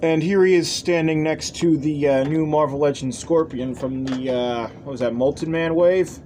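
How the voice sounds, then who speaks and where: A man's voice: on the high side, normal speed, a little mellow. A man hosting a casual, unscripted review, rambling and hesitant, often trailing off. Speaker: toy reviewer, channel host. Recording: faint background noise.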